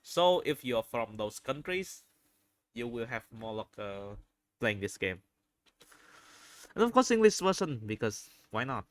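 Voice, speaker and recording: Guy doing unscripted video commentary, crisp voice, really dry close sound, keeps pausing to find his words. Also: mid-range voice, very animated, no echo. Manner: halting, searching for words, repeats phrases. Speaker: video host reviewing game screenshots.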